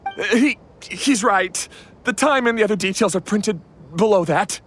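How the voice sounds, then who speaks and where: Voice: medium pitch, normal speed, very noisy, lightly acted. A voice actor playing a nervous thief who explains everything and begs for mercy, in a game cutscene. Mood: anxious. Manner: anxious, pleading explanation.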